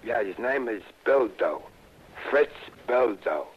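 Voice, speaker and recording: An actor with an American accent playing a halting, eager, streetwise informant with a husky voice in a 1960s radio drama. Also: male voice, medium pitch, animated. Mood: confiding. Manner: hesitant, rambling, colloquial streetwise speech. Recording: muffled old radio broadcast recording.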